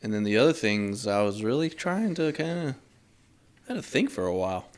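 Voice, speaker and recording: A man in his thirties, co-hosting a podcast in relaxed, rambling chat, laughing often. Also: fairly low pitch, fairly quick. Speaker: podcast co-host. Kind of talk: casual chat.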